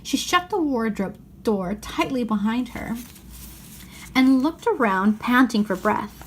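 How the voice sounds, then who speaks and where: A woman's voice: on the high side, lively intonation, a little slow, slight background noise, poor audio. A woman reading a children's story aloud, sometimes stumbling over a phrase and repeating it. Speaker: storybook reader.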